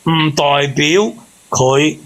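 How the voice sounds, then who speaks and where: A man's voice: a little high, extremely slow, a bit bright. A man with a Hong Kong Cantonese accent giving earnest, explanatory relationship advice in casual call-in talk. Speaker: panel member giving relationship advice to a caller.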